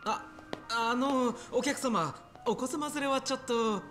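Flustered club greeter, game drama: extremely high register, hesitant, ultra-polite, music underneath. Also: male voice, slow, a bit bright, heavily acted. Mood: flustered, apologetic. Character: flustered, deferential club receptionist. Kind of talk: Japanese video game cutscene acting.

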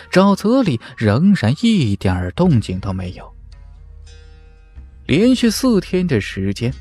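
A man's voice: mid-range voice, darkish tone. A man reading a fantasy novel as dramatic scripted narration, with theatrical, emphatic storytelling. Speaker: audiobook narrator. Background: background music.

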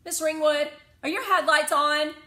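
A woman voicing an anxious young girl who insists on every rule, calling out in a shrill, urgent voice. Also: steady tone, unhurried, bright, forceful tone. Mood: insistent. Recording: roomy echo.

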